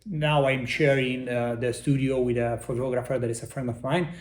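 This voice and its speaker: Argentine man with halting, searching English. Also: medium pitch, little pitch movement, reverberant. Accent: Argentine Spanish. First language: Spanish. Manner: halting, searching for English words, many restarts. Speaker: interview guest, artist. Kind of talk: casual interview.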